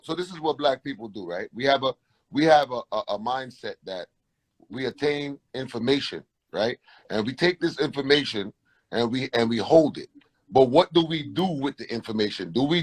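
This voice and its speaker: A man's voice: medium pitch, slightly rough, rough recording. A man hosting a panel discussion, rambling through restarts and rhetorical questions.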